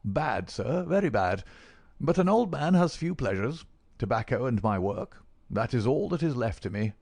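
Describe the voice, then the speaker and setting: An elderly, bookish professor, played by a male narrator, lifts his voice into a higher, mincing register. He is effusive and plaintive, picking ornate words with fussy precision, like a frail invalid scholar in a classic storybook. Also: moderate pace, darkish tone, no room sound, lightly acted. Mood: courteous, wistful. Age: older. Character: gaunt old male professor, bedridden, effusive bookworm.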